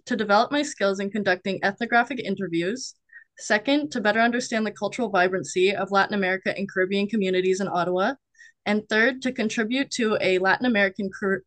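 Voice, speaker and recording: A woman's voice: mid-range voice, fairly bright tone, no echo. A young woman, a student conducting a class interview, reading a formal opening and then chatting in a friendly way. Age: young adult. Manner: friendly, scripted intro then chatty, laughs often.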